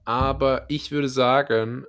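Young male co-host, Palatinate accent, loose joking delivery, mid-pitched. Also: fairly even intonation, slow, a bit bright, clean tone. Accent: Palatinate German. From Palatinate, Germany. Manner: loose, joking, trails off and restarts. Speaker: podcast co-host. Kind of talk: casual banter. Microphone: laptop setup.

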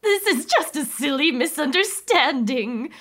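Woman doing a high, sheepish alien lady in disguise. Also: animated, measured pace, fairly bright tone. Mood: pleading. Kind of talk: comedic table read.

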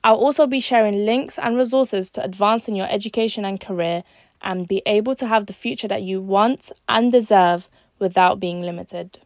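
A woman, hosting her own podcast and reading an earnest, measured script that trails off quietly at the ends of lines. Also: mid-range voice, no room sound, studio quality. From born in Algeria, raised in East London. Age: late teens. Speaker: podcast host. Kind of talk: scripted solo podcast monologue.

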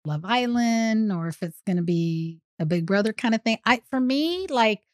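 A woman's voice: a little high, lively intonation, normal speed, no echo, studio quality. A woman co-hosting a podcast, chatting casually about television shows. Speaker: podcast co-host. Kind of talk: casual TV recap chat.

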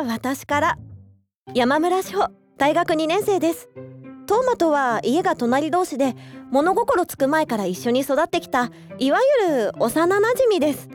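An actress playing a friendly young university student who calms others in a gentle, chatty way. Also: female voice, sits high. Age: young adult. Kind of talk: radio drama acting.

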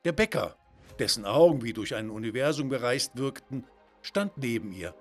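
A man's voice: medium pitch, expressive, normal speed. Male children's storyteller over music. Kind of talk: scripted children's story narration.

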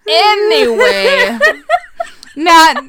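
A woman's voice: extremely high pitch, sing-song, drawn-out words, noisy background. A young adult woman hosting a casual podcast chat, laughing often and swearing casually, playfully blunt. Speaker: podcast host. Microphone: podcast microphone.

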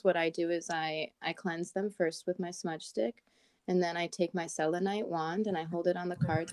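A woman with a raspy morning voice chatting casually as a podcast co-host, talking with lots of "like" and "um". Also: on the low side, no echo. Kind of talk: casual chat. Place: at home in Portland.

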